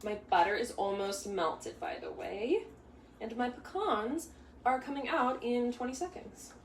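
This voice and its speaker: A woman's voice: a little high, a little slow. A young woman hosting a live bake-along, talking casually while she stirs a pot, with lots of restarts and side stories. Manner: chatty, rambling, with many restarts and asides. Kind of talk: casual live cooking demo. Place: home kitchen. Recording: echoey kitchen, mic far off, faint hum.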